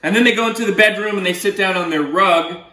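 A man's voice: high voice, quick pace, bright, forceful tone. A middle-aged man, a children's author, reading a picture book aloud expressively, with explanatory asides, in a living room. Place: small living room, indoors on a sofa. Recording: roomy living-room echo.

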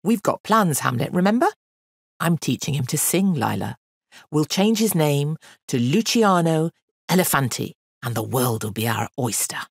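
A woman's voice: low-pitched, very animated, moderate pace, creaky, husky, dry recording, studio quality, exaggerated voice. A woman voicing a cheeky young boy who handles an elephant in a children's story, breezy and glib with put-on innocence. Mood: confident.

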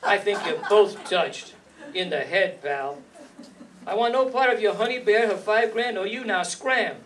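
Noir private eye, played by an older man: high voice, slangy, wry, hard-boiled radio style, picked up from far off. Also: expressive, a little slow, a bit bright, slight background noise. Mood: tough, brisk. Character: wisecracking hard-boiled male private eye, world-weary. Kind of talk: 1950s noir radio acting. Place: staged reading in a community hall. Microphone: stand microphone, heard from a far camera. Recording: distant room mic, music.

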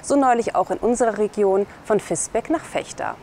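A woman's voice: medium pitch, animated, a little fast, darkish tone. A young woman presenting scripted local news to camera outdoors. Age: young adult. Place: outdoors by a building and trees. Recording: faint outdoor city noise. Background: faint outdoor ambience.

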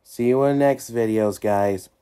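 A man's voice: medium pitch, normal speed, warm tone, clear, resonant tone. A man speaking to viewers as an amateur voice performer, introducing and closing his video.

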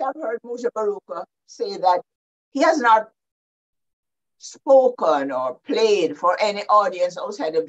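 An older Jamaican woman speaking as a panelist over a video call from her home study, deliberate and explanatory. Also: fairly low pitch. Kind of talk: measured panel talk. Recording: dull lo-fi video call audio.

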